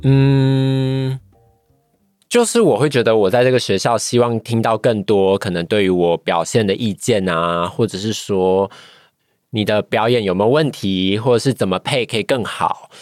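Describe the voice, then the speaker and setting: A woman's voice: extremely low pitch, moderate pace, darkish tone, no room sound. A woman with a Taiwanese Mandarin accent talks casually as a guest, feeling her way toward the right words. The sound is very dry, with little room to it, and faint music plays behind her. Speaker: podcast guest, voice acting trainee. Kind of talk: casual personal account in conversation.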